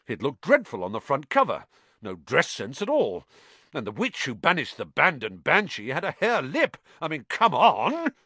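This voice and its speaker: A vain, preening wizard professor, theatrical and condescending, his voice lifting higher than normal and swooping dramatically, as a man reading a storybook puts him on. The sound is close and dry. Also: huge pitch swings, moderate pace, pushed and bright, dry recording, full character voice.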